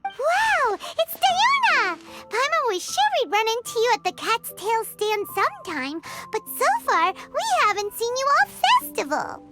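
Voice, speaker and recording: A woman voicing a tiny, chirpy, childlike fairy companion in a video game, excitable in manner. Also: extremely high pitch, measured pace, music in the background, exaggerated voice. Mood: chirpy, excited. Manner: excitable, refers to herself in third person. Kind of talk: video game character acting.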